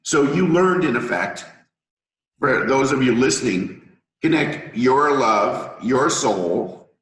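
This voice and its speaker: A middle-aged man with a hoarse voice from a cold, hosting an interview as a healer and explaining things at length with analogies. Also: mid-range voice, lively intonation, slow, fairly bright tone, echoey room, poor audio. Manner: confident, lecturing with analogies. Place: room in Thailand.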